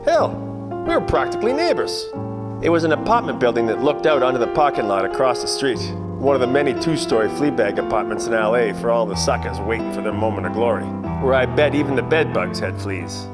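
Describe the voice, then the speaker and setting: A man's voice: medium pitch, expressive, a little fast, loud music behind the voice, natural voice. A man playing a wisecracking, cocky 1940s private detective with wry, world-weary slangy delivery in a radio noir parody. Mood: wry narration. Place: theatre production shot before green screen. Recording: echoey hall, faint noise.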